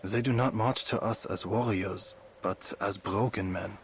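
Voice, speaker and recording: An actor playing a solemn, fatalistic soldier in a war game, speaking gravely in poetic, measured phrases. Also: male voice, lowish voice, fairly even intonation, a little hiss or hum, lightly acted. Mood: grave, brooding.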